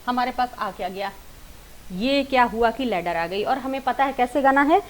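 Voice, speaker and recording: High-voiced Indian woman teaching singing. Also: moderate pace, a little hiss or hum. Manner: instructive, addresses viewers directly, mixes in English terms. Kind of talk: online singing lesson, informal explanation.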